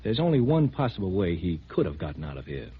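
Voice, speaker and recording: An actor playing a brisk, guarded security officer with a clipped, polite official manner in a 1950s radio drama. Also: male voice, low voice, big pitch swings, breathy edge, exaggerated voice. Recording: noisy, dull vintage 1950s radio recording.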